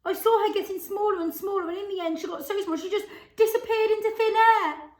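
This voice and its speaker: A frantic storybook mother, put on by a woman reader, shrill and wailing, her voice pitched very high. It rings out in an echoey room. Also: steady tone, fairly quick. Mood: alarmed.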